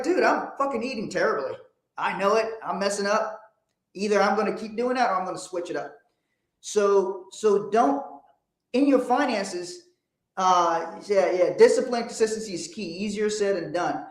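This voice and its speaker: A man's voice: high voice, moderate pace. Blunt man in an echoey room. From Liberia. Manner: blunt, profane, emphatic. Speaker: host. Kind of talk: motivational livestream talk.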